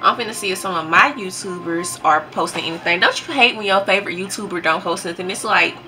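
A woman's voice: lowish voice, fast talker, pushed and bright, slight background noise. Young Southern US woman chatting casually. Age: young adult. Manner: informal, conversational, addressing viewers as y'all. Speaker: vlogger. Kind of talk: casual vlog chat to viewers.

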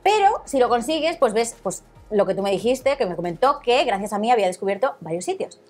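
A woman's voice: fairly high pitch, sing-song, a little fast, a little mellow, faint music behind. A young woman with a Madrid accent chatting casually as a guest, talkative and colloquial. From Madrid, Spain. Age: young adult. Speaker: interview guest, Instagram content creator. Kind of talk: casual chat. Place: small studio set, indoors. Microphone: lapel.

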